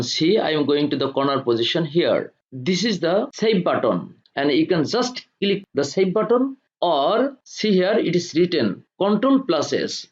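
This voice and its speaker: Male tutorial presenter, animated intonation, step-by-step instructions, deliberate short phrases, dull lo-fi recording. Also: high-pitched. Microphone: voice-over for screen recording. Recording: dull lo-fi voice-over.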